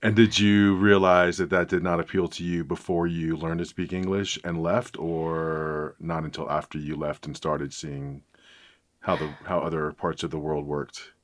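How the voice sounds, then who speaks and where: An interviewer asking measured, curious follow-up questions. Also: low-pitched, little pitch movement, no room sound, studio quality.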